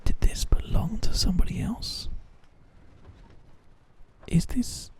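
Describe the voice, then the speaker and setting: A man whispering reflective ASMR narration over gameplay into a binaural microphone, in a soft, musing way. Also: slow pace. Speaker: ASMR gaming host.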